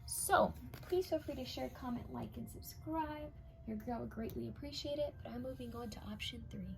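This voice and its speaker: Young woman doing a tarot reading, low mellow tone, a bit raspy and gravelly, whispers while she reads the cards. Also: moderate pace, slight background noise. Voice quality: slightly raspy from many readings. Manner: intuitive, addresses viewers directly, drops to whispers while reading cards. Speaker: tarot card reader. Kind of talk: casual pick-a-card tarot reading.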